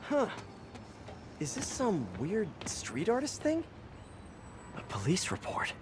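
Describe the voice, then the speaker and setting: An actor playing a young, quippy superhero sleuth in a video game, wryly thinking aloud. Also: male voice, high voice, wildly swooping pitch, slow pace, noisy background, full character voice. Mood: curious, wry. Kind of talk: game cutscene acting.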